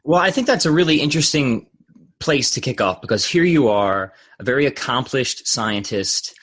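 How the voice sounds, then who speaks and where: Young male podcast host, animated pitch, slightly husky, eager and rambling. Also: normal speed. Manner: enthusiastic, rambling with restarts. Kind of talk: casual interview chat.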